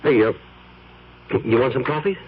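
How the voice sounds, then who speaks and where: On a dull, faintly noisy old radio recording, a man plays an anxious husband with something to hide. His speech is halting and evasive, with a lively range of pitch. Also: natural voice. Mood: evasive, nervous. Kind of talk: 1970s radio drama acting.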